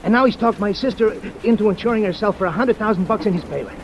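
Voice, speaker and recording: A nervous, hungover man in a 1940s detective radio play. His high voice stammers and whines through his hesitant pleas. There is faint noise on the old recording. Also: fast talker, dull audio. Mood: nervous, urgent. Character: jittery, hungover male client with a sob story. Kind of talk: 1940s radio drama acting.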